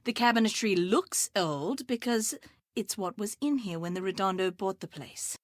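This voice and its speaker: A woman's voice: medium pitch, lively intonation, moderate pace, no room sound, studio quality, natural voice. A woman playing a chatty, wry salon guest in a video game, speaking expressively. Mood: explaining. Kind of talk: scripted game voice acting, gossipy chat.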